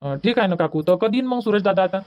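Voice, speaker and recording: Cartoon dub: voice actor playing a sheepish young village guy, quick, lo-fi. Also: mid-range voice, animated, natural voice. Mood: agreeable. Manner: apologetic, hesitant, starts lines with "uh". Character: polite young village man borrowing a bike.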